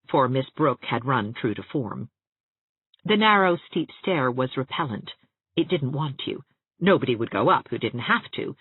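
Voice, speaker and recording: A woman reads an audiobook as its narrator. Her delivery is lively and expressive, with a wide melodic range and a husky edge, in a very dry recording. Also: rumbling low, no room sound, narrow, tinny audio.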